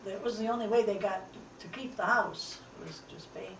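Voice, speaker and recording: A woman's voice: medium pitch, fairly even intonation. Elderly American woman in an echoey room. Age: 90 or older. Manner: halting, pausing to recall details. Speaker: interviewee, family elder. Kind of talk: informal oral history recollection. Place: echoey room, away from the microphone. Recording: roomy, distant, light background noise.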